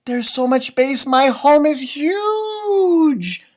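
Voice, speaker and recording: A man voicing an excitable, grateful storybook rabbit, exclaiming and stretching out his words. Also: very high voice, drawn-out words, pitched above the performer's own voice, exaggerated voice. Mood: delighted.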